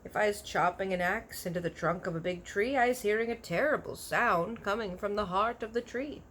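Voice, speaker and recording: A woman's voice: mid-range voice, a little fast, a bit bright, slight background noise, voice pushed up, natural voice. A woman voicing a kindly, big-eared storybook giant who is earnest, proud and easily offended, talking in folksy broken grammar with invented words. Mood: solemn, earnest. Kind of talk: animated children's storybook character voice.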